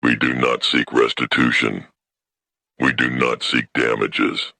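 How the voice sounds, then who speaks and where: Gravelly, very deep, monotone alien elder, as a man puts it on; tense and husky, dry close sound. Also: no echo, exaggerated voice. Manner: grave, plain, measured statements. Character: dignified grieving alien trade-clade elder.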